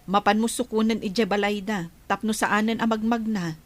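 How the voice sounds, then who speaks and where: A woman in the role of a practical Filipino wife and mother with an Ilocano accent, in a radio drama. She is insistent and direct at an ordinary female pitch. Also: fairly even intonation, normal speed, close to an everyday voice. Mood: insistent, questioning.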